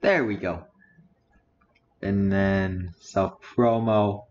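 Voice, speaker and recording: A teenage boy talks his way through a live stream, half to himself, reading out titles as he browses. His voice sits on the low side. The recording is dull and lo-fi, with a faint hiss underneath. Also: fairly even intonation, very slow, darkish tone. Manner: muttering, reading aloud while browsing. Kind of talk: casual live-stream commentary. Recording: dull lo-fi audio with faint noise.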